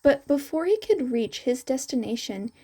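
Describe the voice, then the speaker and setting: A woman's voice: sits high, expressive, moderate pace, dark tone, slightly rough. A woman narrating a scripted story aloud as a podfic reader.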